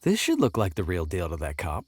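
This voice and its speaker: A man's voice: low-pitched, wildly swooping pitch, a little fast, husky, exaggerated voice. A man playing a curious, hesitant traveling salesman in a video game, with a wry way of talking. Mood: wry musing. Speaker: protagonist character. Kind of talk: video game voice acting, dialogue and musing asides.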